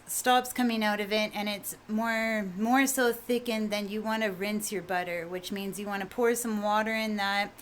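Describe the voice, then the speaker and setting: A woman with a quiet voice, casually explaining a recipe in a home kitchen video, rambling a bit with fillers. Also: a little high, clear, resonant tone. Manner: relaxed, rambling, with fillers and mild swearing. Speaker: home cook vlogger.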